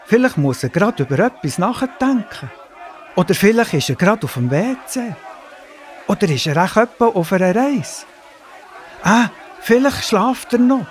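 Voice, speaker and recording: A self-assured Bible prophet with a high, very lively voice. He commands and mocks with confidence, in the manner of a children's radio play, over faint noise. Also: moderate pace, some chatter behind. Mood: amused mockery. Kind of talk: children's audio drama acting.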